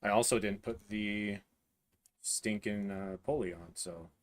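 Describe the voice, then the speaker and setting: A hoarse man in his thirties livestreaming a printer build, talking haltingly as he searches for words and clears his throat. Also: on the low side, background noise. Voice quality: voice cutting out, clears throat often. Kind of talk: live build stream, casual talk while working.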